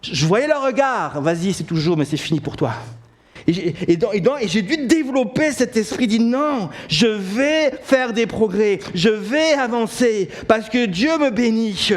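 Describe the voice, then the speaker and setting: Belgian French man, middle-aged, high voice; impassioned church preacher who stumbles and restarts, then lands lines hard. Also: expressive, measured pace. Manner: impassioned preaching, rising to emphatic declarations with frequent restarts. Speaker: pastor. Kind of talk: sermon. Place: church sanctuary.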